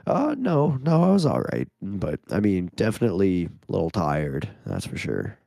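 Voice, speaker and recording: A man's voice: low-pitched, huge pitch swings, moderate pace, vocal fry, dark tone, no room sound, studio quality. A man from Canada co-hosting a gaming podcast who is losing his voice, easygoing and laughing between phrases. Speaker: podcast co-host. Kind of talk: casual gaming podcast chat.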